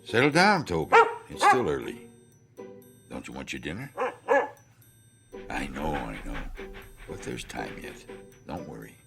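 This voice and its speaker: An actor in a radio drama playing a low-voiced, sinister man who murmurs soothingly to his pet in a gravelly voice. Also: huge pitch swings, measured pace, long pauses, music in the background, heavily acted. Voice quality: very deep, gravelly.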